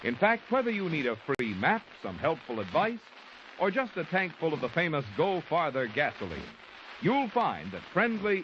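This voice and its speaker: Animated male old-time radio announcer. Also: on the high side. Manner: polished salesmanship. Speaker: commercial announcer. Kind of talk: 1940s radio advertisement, scripted pitch. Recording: muffled old radio recording, faint noise.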